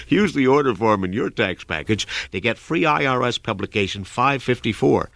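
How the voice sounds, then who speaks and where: A man's voice: mid-range voice, expressive, normal speed, gravelly, fairly bright tone, no echo. An actor playing a cheerful sixty-five-year-old retiring man, folksy and informative, in a scripted public service radio spot.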